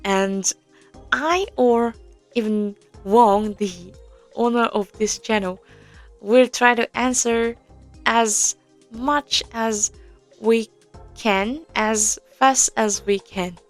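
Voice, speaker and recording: A young woman talks slowly and unhurriedly, explaining a game in a casual walkthrough. She hesitates often, filling gaps with ums and small nervous laughs. Faint music sits behind her. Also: on the high side. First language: Korean. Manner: hesitant, many fillers, soft nervous laughs. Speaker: audio game tutorial presenter.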